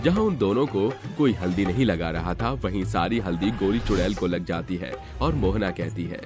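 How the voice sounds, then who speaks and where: A man's voice: low voice, expressive, normal speed. A man reading a scripted story as its narrator. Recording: music behind the voice. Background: background music.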